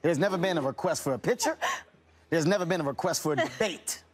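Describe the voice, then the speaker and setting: A man's voice: a little high, fast, husky. A man in mocking banter, teasing and emphatic in how he talks. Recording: noisy clip with clicks.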